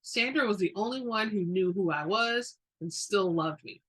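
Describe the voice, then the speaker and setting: A woman reading a book aloud with dramatic flair, expressive and emphatic in her delivery. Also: medium pitch, normal speed, slightly rough, rough recording. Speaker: book reader.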